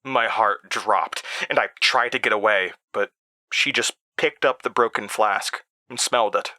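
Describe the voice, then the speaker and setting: Rattled, stammering horror drama narrator. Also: male voice, fairly low pitch, bright, forceful tone. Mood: uneasy recounting. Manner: halting, stammering, nervous self-interrupting. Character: anxious, rattled man recounting eerie childhood memories to recorders. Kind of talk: first-person horror audio drama monologue.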